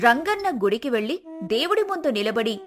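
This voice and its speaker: A woman's voice: fairly high pitch, very animated, quick pace, over loud music. A woman reading scripted narration for a folk tale as the storyteller.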